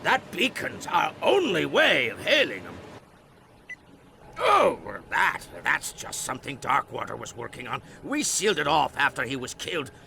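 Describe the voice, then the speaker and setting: An actor playing a folksy, talkative old island elder in a video game, with a creaky voice and theatrical delivery. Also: male voice, fairly high pitch, huge pitch swings, moderate pace, pushed and bright, slight background noise, exaggerated voice. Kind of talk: animated video game cutscene acting.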